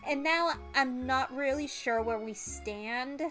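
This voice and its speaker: A woman voicing an excitable, chatty and dramatic young female best friend in a visual novel game. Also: sits high, takes it slowly, faint music behind. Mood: breathless, gossipy.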